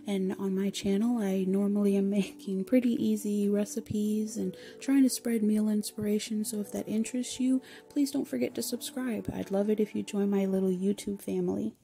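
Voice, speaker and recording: A woman's voice: medium pitch, steady tone, normal speed, creaky, few pauses, mellow. A woman hosting a home cooking channel, giving chatty, encouraging step-by-step recipe voice-over.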